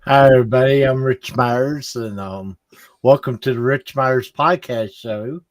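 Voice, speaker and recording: A male podcast host asks his questions very slowly and hesitantly, filling the gaps with ums. The sound is dry and close, with no room around it. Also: medium pitch, no room sound. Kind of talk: interview.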